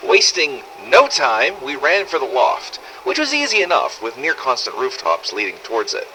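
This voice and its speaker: Man acting brash, sharp-voiced zombie apocalypse survivor. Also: medium pitch, wildly swooping pitch, moderate pace, a little hiss or hum, low-quality recording. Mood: subdued, determined. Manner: blunt, sardonic, swearing, conversational storytelling. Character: brash, foul-mouthed adult male survivor in zombie apocalypse. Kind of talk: scripted first-person audio log narration.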